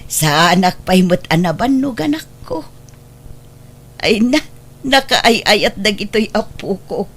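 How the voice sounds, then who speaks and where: A woman's voice: mid-range voice, animated, slow, long pauses, fairly bright tone. A woman playing an older mother and doting grandmother, anxious and remorseful, in an Ilocano-accented radio drama. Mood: tender, delighted. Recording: music bed behind the voice.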